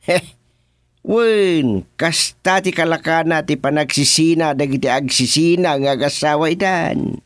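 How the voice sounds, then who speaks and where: A man with an Ilocano Filipino accent playing a gruff, easily exasperated village chairman in a radio comedy drama, with grumbles and sighs. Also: fairly high pitch, unhurried, dry recording, clean studio sound, lightly acted.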